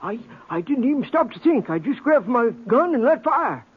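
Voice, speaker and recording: An actor playing a timid, henpecked older bank teller in a radio western, stammering nervously with a folksy American accent. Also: male voice, very high voice, sing-song, normal speed, husky, heavily acted. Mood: anxious, confessing. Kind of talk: old-time radio western acting. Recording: tinny, narrow-band old radio broadcast.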